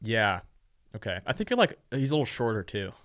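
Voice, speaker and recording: A man's voice: lowish voice, very animated, a little fast, fairly bright tone, no room sound, clean studio sound. A young man co-hosting a podcast in casual chat, bantering bluntly and swearing freely. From Norwegian heritage. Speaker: podcast co-host.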